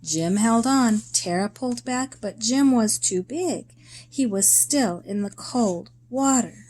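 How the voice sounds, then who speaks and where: A woman reading a children's story aloud in a deliberate, expressive way, in short phrases with pauses. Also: a little high, a little hiss or hum.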